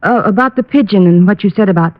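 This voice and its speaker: An actress playing a detective's smitten, flustered sweetheart, hesitant and coy in a 1950s radio drama. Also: female voice, mid-range voice, quick pace, lightly acted. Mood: confused, flustered. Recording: thin, muffled old radio recording.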